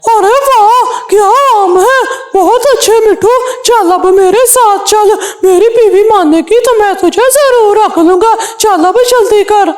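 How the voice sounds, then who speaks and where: A woman's voice: extremely high pitch, a little slow, hardly any pauses, darkish tone. A woman voicing a sly, bossy male crow with an offhand manner in a children's cartoon. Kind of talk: Hindi children's cartoon dialogue.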